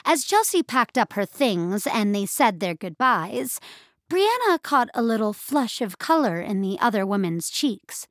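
A woman narrating scripted fiction with measured, expressive storytelling. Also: fairly high pitch, dry recording, studio quality.

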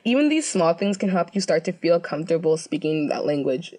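Chatty young woman, lowish voice. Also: expressive, a little fast, mellow. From United States. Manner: chatty, filler-heavy storytelling with many "like"s. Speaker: co-host. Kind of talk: casual scripted web video talk.

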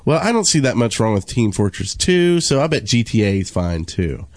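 A man's voice: on the low side, big pitch swings, normal speed, no echo, clean studio sound. A man co-hosting a gaming podcast in casual, joking banter. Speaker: podcast co-host.

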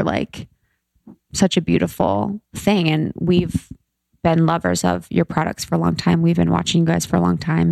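A woman's voice: lowish voice, gravelly, a little mellow, dry recording. A woman from Ohio co-hosting a podcast in casual chat, effusive and gushing in her praise. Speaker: podcast co-host.

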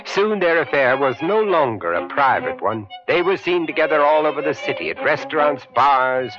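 A man's voice: a little high, expressive, moderate pace, natural voice. An actor playing a wry, gossipy narrator of a radio drama, arch and knowing as he addresses the listener. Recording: dull vintage radio recording. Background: music, violin.

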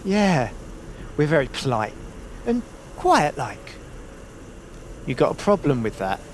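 A man's voice: on the high side, big pitch swings, slow, slight background noise. A man voicing a dim, obedient henchman creature in a cartoon audio drama. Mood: dutiful, defensive.

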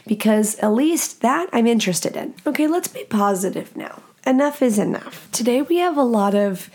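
A woman with a deep, nasal voice hosting a podcast in a blunt, profane, self-mocking casual monologue. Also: expressive, normal speed, darkish tone. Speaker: podcast host.

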